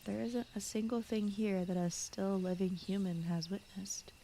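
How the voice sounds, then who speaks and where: A woman voicing a gentle, shy fox spirit who guards a forest, speaking softly and often in whispers in a soothing bedtime ASMR roleplay style. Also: mid-range voice, steady tone, moderate pace, slight background noise, lightly acted. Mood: shy, rambling. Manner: soft-spoken, often slipping into whispers, rambling, apologetic. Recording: clear night cricket and forest ambience. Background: crickets, insects, night forest ambience.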